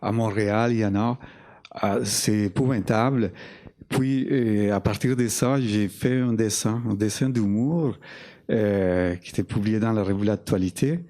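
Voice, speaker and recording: A man from Colombia, a cartoonist and illustrator, speaking haltingly as a guest on a festival panel, with many fillers and restarts, in a language that is not his first. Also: lowish voice, mellow.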